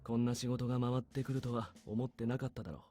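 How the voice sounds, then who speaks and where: A man voicing a calm, calculating military major in a visual novel, speaking with dry, understated authority. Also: medium pitch, very fast, a little hiss or hum, lightly acted. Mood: matter-of-fact. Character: calm, calculating male military major giving covert orders.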